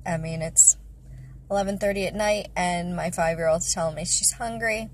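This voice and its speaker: A woman's voice: lowish voice, normal speed, slight background noise. A woman in her thirties, a mother, chatting casually about faith and family into a phone in her car, rambling with many fillers. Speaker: vlogger, mother of five. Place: parked car, indoors of vehicle. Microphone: phone camera at arm's length.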